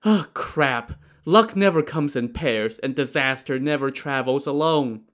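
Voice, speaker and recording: A man doing a soft, fretful exile. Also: medium pitch, measured pace, close to an everyday voice. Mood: anxious. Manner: soft-spoken, pleading. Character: anxious male fugitive exile, polite and fretful.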